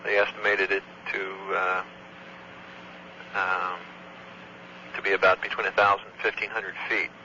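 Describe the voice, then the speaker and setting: A man giving a halting, matter-of-fact technical report as an air traffic controller, speaking conversationally over the telephone. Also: low voice, monotone, bright, forceful tone. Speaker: air traffic controller, tower area supervisor. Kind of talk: phone report of an incident, conversational eyewitness account. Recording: dull lo-fi phone recording, faint hum.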